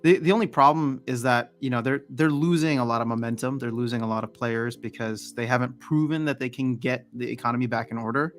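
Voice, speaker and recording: A man's voice: medium pitch, steady tone, fairly quick, few pauses. A man hosting a livestream, chatting casually and rambling with frequent restarts. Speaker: livestream host. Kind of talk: casual chat.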